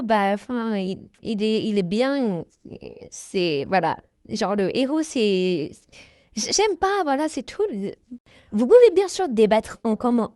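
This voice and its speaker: A woman's voice: fairly high pitch, a little mellow, dry recording, clean studio sound. A young woman answering her viewers' questions in a casual, hesitant chat, full of fillers and small laughs. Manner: hesitant, many fillers, giggly. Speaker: YouTuber answering fan questions.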